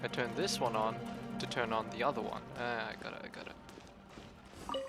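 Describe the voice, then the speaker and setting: A man's voice: mid-range voice, steady tone, normal speed. A man giving casual, offhand gameplay commentary as a streamer, thinking aloud while he plays. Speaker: game streamer. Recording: loud game music behind voice. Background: loud game music.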